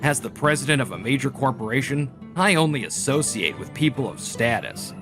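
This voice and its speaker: Guy doing a pompous snob of an old corporate boss dad, manga dub, big expressive swings, music behind. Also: medium pitch, brisk, natural voice. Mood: smug. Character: snobbish, pompous older male corporate president, proud father.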